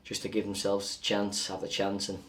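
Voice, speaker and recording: A man, a football coach giving a measured, plain-spoken post-match interview. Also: on the low side, monotone, fairly bright tone, far from the mic.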